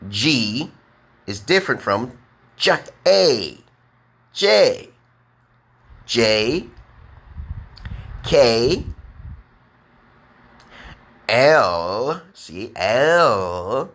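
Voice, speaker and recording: A man with a New York accent teaching beginner English on a live stream, speaking slowly and emphatically and checking in with "okay?". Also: mid-range voice, big pitch swings, fairly bright tone. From United States. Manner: drawn-out, emphatic, repeats letters and "okay?". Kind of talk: slow deliberate live-stream lesson.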